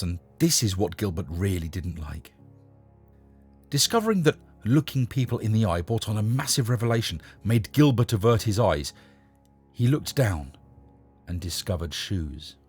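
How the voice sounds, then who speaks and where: Husky, expressive low-voiced man reading a story. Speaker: narrator and host reading short stories. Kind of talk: scripted story narration with a short casual introduction.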